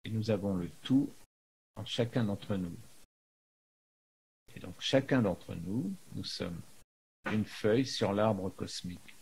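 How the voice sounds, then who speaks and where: A man giving an unscripted spiritual talk as a teacher, in a halting manner with frequent pauses in the middle of phrases. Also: on the low side, vocal fry, a little mellow, husky, low-quality recording. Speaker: spiritual teacher, video maker.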